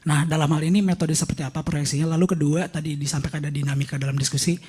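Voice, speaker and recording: A man's voice: fairly high pitch, fairly even intonation, racing, a little mellow, slightly rough. A man asks questions as a reporter at a press conference, politely and tentatively, correcting himself.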